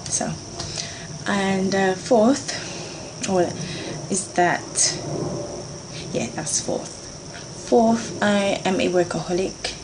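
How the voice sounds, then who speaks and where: Woman, 30s, lowish gravelly voice, chatty vlog talk, noisy background. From Jamaica. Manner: chatty and earnest, with frequent fillers. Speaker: beauty vlogger. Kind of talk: casual talk to camera, going through a list.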